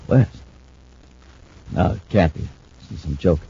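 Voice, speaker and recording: An actor playing a nervous, guarded fugitive in a 1950s radio drama, halting in his speech. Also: low voice, vocal fry, mellow, husky, heavily acted. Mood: shaken. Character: wary, nervous male fugitive in hiding. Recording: muffled, noisy old-time radio recording.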